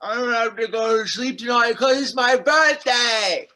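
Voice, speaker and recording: An actor playing an excited five-year-old boy in a table read of a TV drama. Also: mid-range voice, unhurried. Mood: excited, eager.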